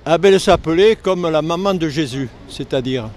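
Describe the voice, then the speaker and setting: An older man with a southern French accent from Lozère, a retired air force serviceman speaking earnestly in a street interview, halting when the subject turns painful. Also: on the high side, a little mellow, a little hiss or hum. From Lozère, southern France. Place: outdoors on a city street.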